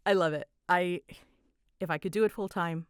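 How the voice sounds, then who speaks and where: A woman's voice: lowish voice, steady tone, moderate pace, smooth, dry recording, studio quality. A woman chatting casually and animatedly as she answers listener questions, with a giggly, self-deprecating manner. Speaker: hobbyist audio roleplay creator answering listener questions.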